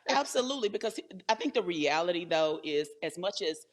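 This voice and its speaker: Enthusiastic higher-voiced man interviewing. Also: animated, fairly quick. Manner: enthusiastic, affirming fan. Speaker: interviewer, host. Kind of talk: casual conversational interview.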